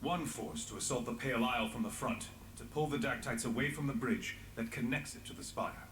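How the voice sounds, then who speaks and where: An actor playing a grave, world-weary resistance leader in a fantasy game, speaking in measured lines. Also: male voice, medium pitch, a bit bright, reverberant, a little hiss or hum, lightly acted. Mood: resolute. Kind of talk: dramatic fantasy game voice acting.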